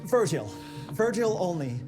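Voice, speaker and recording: A woman's voice: on the low side, very animated, measured pace. A woman playing an eager, nerdy, admiring engineer with a gushing, deferential manner in a game. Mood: awed, nervous. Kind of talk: video game cutscene acting. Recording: music behind the voice.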